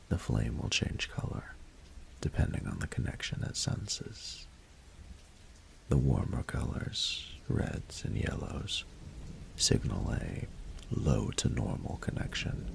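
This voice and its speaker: A man playing a regal, doting dragon ruler in a romantic audio roleplay, speaking formally in a gravelly, breathy murmur. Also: rumbling low, a little hiss or hum, full character voice. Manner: formal, unhurried, eloquent, courtly. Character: regal male dragon ruler, doting, patient, courtly mentor.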